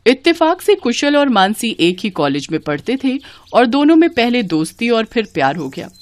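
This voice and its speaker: A woman narrating a scripted Hindi family story as storyteller, with an expressive storybook delivery. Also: a little high, normal speed.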